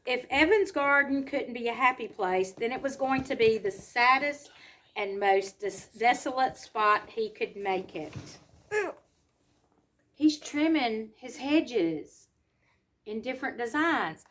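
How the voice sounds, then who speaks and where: A woman reads a storybook aloud to children, pausing to explain simply and ask them questions. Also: on the high side, animated, a bit bright, slight background noise. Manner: simple explanatory asides and questions to young listeners.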